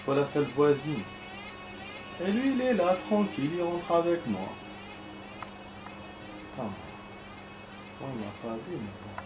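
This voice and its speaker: A man's voice: fairly high pitch, lively intonation, measured pace, long pauses. A man giving casual, rambling gameplay commentary, swearing now and then and talking to his viewers as friends. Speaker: gameplay streamer. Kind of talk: casual let's-play commentary. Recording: echoey room with clear background noise.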